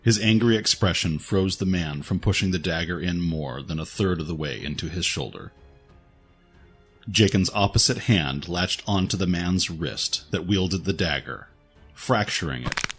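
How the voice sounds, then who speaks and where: A man's voice: sits low, normal speed, fairly bright tone, slightly rough. A man narrating a scripted dramatic story as its storyteller. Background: faint background music.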